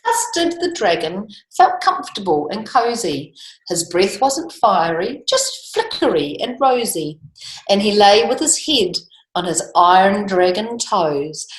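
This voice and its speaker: A woman's voice: mid-range voice, very animated, a little slow. A woman reading a children's story expressively, with chatty explanations and questions to her listeners. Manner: conversational, explaining, asking tag questions. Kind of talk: expressive read-aloud with chatty asides. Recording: echoey room.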